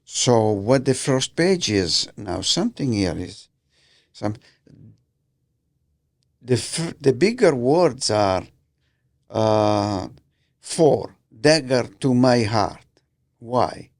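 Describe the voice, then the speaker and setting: A man with an Italian accent hosts a podcast from a studio, reading newspaper items aloud. His voice is gravelly and his delivery unhurried and halting, with slips he stops to correct. The sound is dry and close. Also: mid-range voice, animated, no room sound. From Italy. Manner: halting, slips and rereads words, many fillers. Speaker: podcast host.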